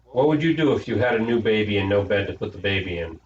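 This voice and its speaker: In an echoey, dull-sounding room, a patient mother read by a man in a lowish voice explains things to children. The storybook delivery is gentle instruction with some weariness. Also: expressive, quick pace, lightly acted. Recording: echoey room, distant mic, noisy, dull.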